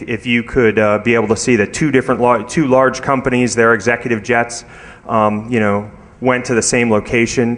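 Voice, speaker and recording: A man speaking as a conference presenter, giving an informal technical talk in a conversational, halting way with many ums and asides. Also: fairly low pitch, fairly bright tone. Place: large conference hall, addressing an audience. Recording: reverberant hall recording.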